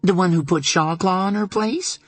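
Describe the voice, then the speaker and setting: A man voicing a sharp-witted woman who teases her best friend with dry, quick banter. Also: sits high, dry recording, pitched above the performer's own voice. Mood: playful.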